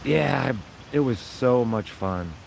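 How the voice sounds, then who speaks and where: Raspy man, mid-pitched, easygoing and enthusiastic, talking to camera outside. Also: expressive, slow pace, darkish tone, slight background noise. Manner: rambling, enthusiastic, upbeat. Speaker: vlogger. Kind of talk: casual chat to viewers.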